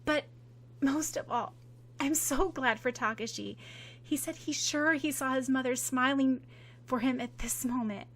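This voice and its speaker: A woman voicing an excitable, grateful teen girl who talks in a high, loud, gushing way. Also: measured pace.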